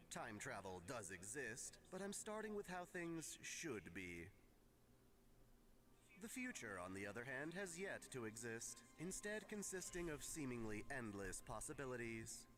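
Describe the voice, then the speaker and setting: Formal male lecturer, music behind. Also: mid-range voice. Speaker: narrator of a lore lesson. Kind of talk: scripted narration.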